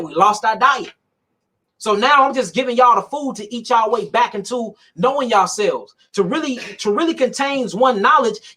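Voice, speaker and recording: A man with a very high-pitched voice preaches in an emphatic, colloquial monologue. He repeats his points for effect and laughs often between them. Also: sharp tone, slightly rough. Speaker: alternative health speaker.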